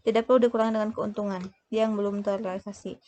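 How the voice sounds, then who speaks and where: A woman explaining worked examples as a lecturer, in a halting way, often breaking off to correct herself. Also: mid-range voice, little pitch movement, warm tone. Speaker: accounting lecturer.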